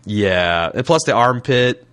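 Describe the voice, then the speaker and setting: A man's voice: mid-range voice, expressive, slow, fairly bright tone, smooth. A man from Indianapolis with a light Southern accent, hosting a casual podcast and reading listener mail in a frank, profane, joking way. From Indianapolis, USA. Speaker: podcast host.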